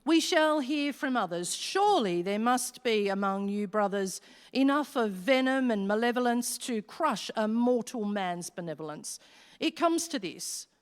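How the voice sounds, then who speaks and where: A woman's voice: on the high side, expressive, a little slow. A woman playing a wicked female devil who chairs a meeting, formal and declamatory, in a rhymed radio play. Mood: stern, rousing. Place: large hall, live stage performance. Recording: reverberant hall recording.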